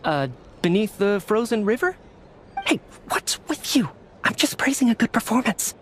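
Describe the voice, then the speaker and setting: Animated, flustered, earnest fanboy. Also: male voice, sits high, moderate pace, slight background noise. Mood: earnest, admiring. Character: eager, talkative, schedule-bound theater fan, an energy expert. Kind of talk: video game voice acting. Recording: music under the voice.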